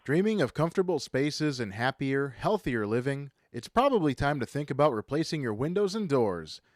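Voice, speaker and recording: A man's voice: mid-range voice, sing-song, normal speed, no echo, clean studio sound. An American man reading scripted local radio commercials as an announcer. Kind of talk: scripted ad read.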